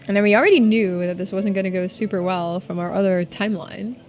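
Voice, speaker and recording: A woman's voice: mid-range voice, fast talker, a little mellow. A woman giving casual commentary as she streams a game playthrough. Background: music.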